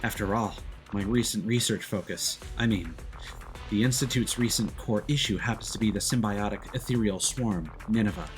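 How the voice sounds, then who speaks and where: A man voicing a formal, measured research examiner from a video game. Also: lowish voice, faint music behind, lightly acted. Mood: formal, explanatory.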